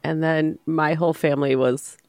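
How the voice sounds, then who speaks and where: A woman's voice: deep voice, level tone, normal speed, a little mellow, dry recording, studio quality. A woman co-hosting a podcast in casual chat, sounding nasal from a cold and telling stories with frequent restarts. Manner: storytelling, restarts often. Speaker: podcast co-host, author.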